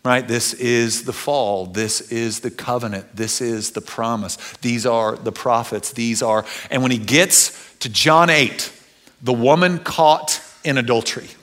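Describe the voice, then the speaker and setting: American male preacher, middle-aged, bright forceful voice, mid pitch, informal storytelling full of quoted dialogue and repeated words. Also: fairly even intonation, a little slow. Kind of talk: storytelling sermon to an audience.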